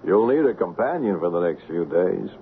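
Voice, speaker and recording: An actor playing a sly, rough-edged male swindler in a 1970s radio drama. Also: sits low, sing-song, moderate pace. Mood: slyly menacing. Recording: muffled, hissy 1970s radio broadcast.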